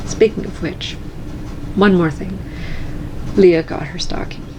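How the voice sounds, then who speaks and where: A woman chatting casually and calmly in her cross-stitch vlog at home, soft-spoken and unhurried. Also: fairly low pitch, noisy background. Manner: calm, slow and soft-spoken. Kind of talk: casual chat.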